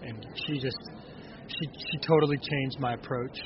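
A man in his thirties with a raspy speaking voice, talking casually as an interview guest and often restarting his sentences. The recording is dull and lo-fi. A steady hiss of noise runs underneath. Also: medium pitch. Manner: halting, many restarts and fillers. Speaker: bluegrass singer-songwriter, guest. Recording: dull lo-fi audio with clear noise.

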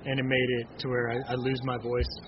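A man in his thirties with a raspy speaking voice, a singer-songwriter answering interview questions in a halting way with many restarts. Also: medium pitch, monotone. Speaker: bluegrass singer-songwriter, guest. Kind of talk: casual interview talk. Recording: dull lo-fi audio with clear noise.

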